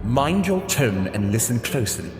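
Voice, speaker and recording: A stern, reproachful investigator acted by a man in an audio drama. He speaks formally with lively, admonishing inflection. Long reverb and clear music surround the voice. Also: mid-range voice, normal speed, close to an everyday voice.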